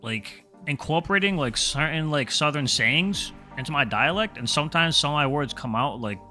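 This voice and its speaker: A man's voice: fairly high pitch, expressive, moderate pace, pushed and bright, faint music behind. A man from New England with a Boston accent, chatting casually with viewers while streaming a game, dropping his R's and filling his talk with "like". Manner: rambling, filler-heavy, drops his R's. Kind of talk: casual gaming livestream chat.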